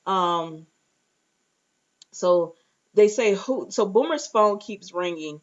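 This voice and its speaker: A woman's voice: mid-range voice, lively intonation, slow pace, highs cut off. A woman giving a casual, chatty recap of a TV show in an informal way, retelling dialogue and adding her own reactions. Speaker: reviewer and host of a TV recap show. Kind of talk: casual recap chat with acted-out asides.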